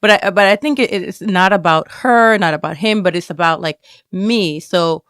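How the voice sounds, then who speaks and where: A woman's voice: fairly low pitch, normal speed, a bit bright, clean tone, dry recording, clean studio sound. A woman speaking as a guest in a candid personal interview, reflective and often searching for words as she goes. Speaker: interview guest, student writer.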